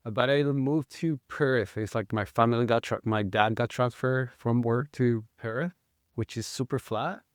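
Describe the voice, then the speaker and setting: A man in his thirties from Chile, with a Chilean Spanish accent, talking as a guest in a casual interview, halting and full of fillers and restarts. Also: medium pitch, no echo, clean studio sound. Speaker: guest, mountain bike guide.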